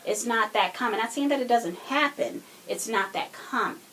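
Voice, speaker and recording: A woman's voice: on the high side, moderate pace, fairly bright tone. Woman on a podcast giving her take, casual chat, bit of room noise in the background. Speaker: podcast co-host. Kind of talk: casual opinionated discussion.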